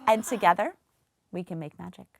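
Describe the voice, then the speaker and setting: A woman's voice: fairly low pitch, fairly quick, vocal fry. A woman hosting a children's read-aloud, speaking to her listeners in an upbeat way. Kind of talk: upbeat talk to children and story reading.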